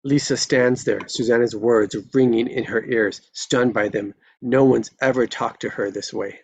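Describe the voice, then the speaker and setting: A man's voice: medium pitch, fairly even intonation, moderate pace, gravelly, a little hiss or hum, low-quality recording. A man reading stage directions as scripted narration at a live table read.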